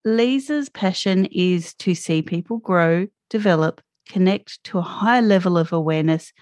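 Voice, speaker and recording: A woman's voice: fairly low pitch, darkish tone, no echo. Upbeat woman hosting a podcast. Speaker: podcast host. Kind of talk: scripted podcast intro and interview. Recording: dry with faint music behind.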